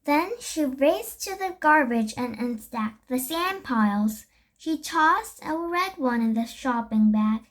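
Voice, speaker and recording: A young girl reading a children's story aloud, haltingly, with stumbles and self-corrections. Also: high-pitched, lively intonation. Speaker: storybook reader.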